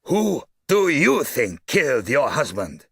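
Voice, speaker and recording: Courteous foreign sleuth, performed by a male narrator: French accent, low, precise and emphatic, very dry storybook recording. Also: animated, breathy edge, dry recording, exaggerated voice. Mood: insistent. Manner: formal, deliberate, emphatic.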